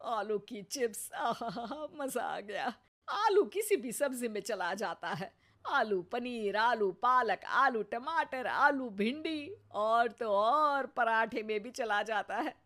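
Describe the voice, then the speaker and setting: A woman acts out an elderly mother-in-law in a comic cartoon. She is gluttonous and theatrical, with a high voice and slow, drawn-out delivery. She whines with great drama. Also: expressive, a bit bright. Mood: delighted.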